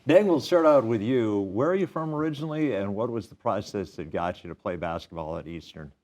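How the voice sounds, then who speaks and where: A man's voice: lowish voice, expressive, a little fast, a little mellow. A man hosting a studio sports interview show, chatty and self-deprecating, often restarting his questions.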